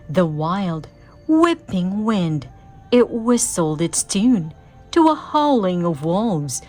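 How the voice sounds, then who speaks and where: A woman giving an expressive, theatrical read-aloud of a rhyming children's story. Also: fairly low pitch. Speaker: storybook reader.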